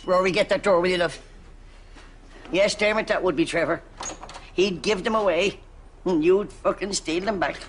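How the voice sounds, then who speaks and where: A high-pitched, bossy older Dublin mammy, brash but warm, in broad sitcom style. There is clear audience noise behind the voice. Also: male voice, normal speed, pauses often. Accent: Dublin Irish. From Ireland. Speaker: lead actor of a sitcom. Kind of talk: broad studio sitcom acting in drag.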